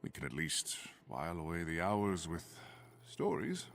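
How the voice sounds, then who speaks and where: Deep, gravelly thunder god prince. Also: male voice, sing-song, a little slow, fairly bright tone, exaggerated voice. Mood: jovial. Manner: grand, formal, courtly phrasing. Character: hearty, gallant male thunder god prince, warm-hearted warrior. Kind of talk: video game voice acting, dramatic dialogue.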